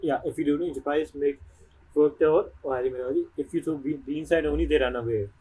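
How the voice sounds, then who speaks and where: A man's voice: fairly high pitch, quick pace, mellow, husky, rough recording. A man giving casual, slangy game commentary in short informal asides as he plays. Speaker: streamer playing a game.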